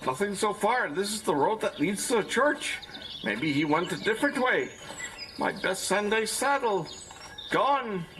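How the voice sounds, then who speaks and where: An actor playing an anxious, fussy farmer in a theatrical audio drama, worried and given to hesitant exclamations. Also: male voice, sits high, animated, a little slow, a bit bright, a little hiss or hum, heavily acted, poor audio. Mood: dismayed, anxious. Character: anxious, fussy Dutch-American farmer, grieving over his lost saddle. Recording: music under the voice.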